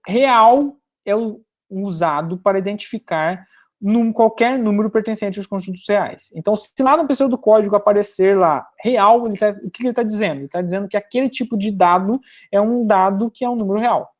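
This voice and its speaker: A woman giving a recorded university programming lecture, explaining step by step and often pausing to check that students follow. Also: mid-range voice, a little mellow.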